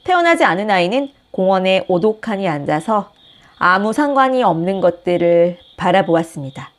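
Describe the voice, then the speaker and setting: A woman host reading a picture book aloud with gentle, expressive storytelling. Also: medium pitch, normal speed. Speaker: storybook reader, channel host.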